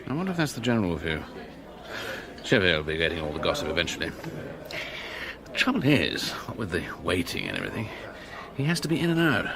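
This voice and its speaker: An actor playing a keen, genial police detective, the chief's loyal sidekick, chatty and inquisitive in a British radio drama. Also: male voice, low-pitched, huge pitch swings, quick pace, long pauses, crowd noise, full character voice. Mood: brisk, pleased.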